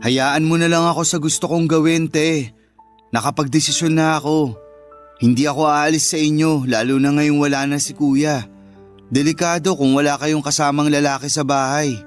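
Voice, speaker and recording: An actor playing a steadfast, consoling young bachelor in a Filipino radio love-story drama. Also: male voice, fairly high pitch, fairly even intonation, normal speed, natural voice. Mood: earnest, reassuring. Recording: music bed under the voice.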